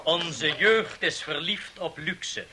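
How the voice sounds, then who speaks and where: Disapproving older man, high-pitched. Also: slow pace, a crowd in the background. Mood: stern, reproachful. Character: disapproving older man defending old ways and manners. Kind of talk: 1960s Dutch radio play acting. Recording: 1960s radio recording with piano music.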